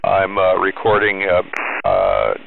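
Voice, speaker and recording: A man from the United States working as an amateur radio operator, chatting casually and matter-of-factly with call signs and pauses. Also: low-pitched, sing-song. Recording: narrow-band, clear background radio noise.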